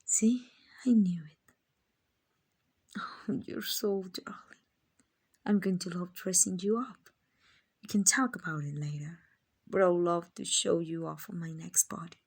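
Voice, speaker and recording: A woman playing a kind, doting vampire owner in an ASMR roleplay, soft and often whispering, gently coaxing and reassuring. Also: lowish voice, fairly even intonation, measured pace, long pauses, husky. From Mexico. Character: kind, doting, genteel female vampire owner, soothing and possessive.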